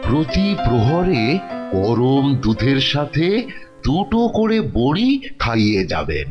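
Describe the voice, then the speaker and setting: A man playing a solemn, pompous royal physician in a kids' cartoon, speaking haltingly as he ponders. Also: medium pitch, big pitch swings, dull audio, over music. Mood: solemn, reassuring. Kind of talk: Bengali kids' cartoon voice acting.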